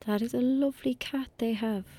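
A woman's voice: on the high side, steady tone, brisk, dark tone, lightly acted. Woman playing a defiant, dreamy young Irish girl. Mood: earnest. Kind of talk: audio drama acting.

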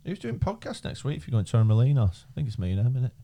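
A man in his thirties hosts a chatty business podcast from a studio. He jokes and rambles, and his voice jumps around a lot in pitch. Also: big pitch swings, rapid-fire, dark tone. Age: 30s. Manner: joking, rambling, repeats phrases. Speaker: podcast host. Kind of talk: casual chat.

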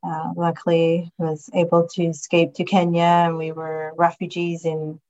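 A woman from Somalia in her forties talks as a guest in an interview. Her voice is fairly low and stays even and flat as she tells her story earnestly, pausing often on "um" and "uh". The remote call audio sounds a little dull and muffled. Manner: earnest, halting, many ums and uhs. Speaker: guest, county commissioner.

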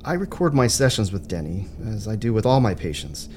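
Troubled professional psychiatrist, radio drama, somewhat deep voice, halting and courteous. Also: male voice, sing-song, a little mellow, faint music behind. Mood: hesitant, troubled. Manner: measured, halting, polite. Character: earnest, hesitant male psychiatrist, troubled but professional. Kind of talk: audio drama acting.

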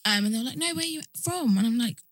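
A woman's voice: a little high, lively intonation, fast talker, fairly bright tone, no room sound, studio quality. A young woman from England in a casual group chat, telling stories animatedly and laughing often.

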